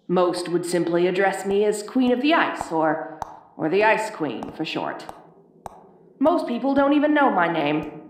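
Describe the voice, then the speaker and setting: A woman playing a haughty, bossy ice queen in an audio roleplay, speaking with imperious, deliberate phrasing. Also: on the low side, a little hiss or hum. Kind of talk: audio roleplay addressed to the listener. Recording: roomy, echoing voice recording.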